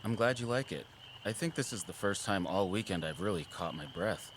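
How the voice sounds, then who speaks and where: An actor playing an earnest, caring male wildlife surveyor in an audio drama, casual, sincere and expressive. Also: sits low, brisk, slight background noise, poor audio. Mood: tender. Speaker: habitat surveyor.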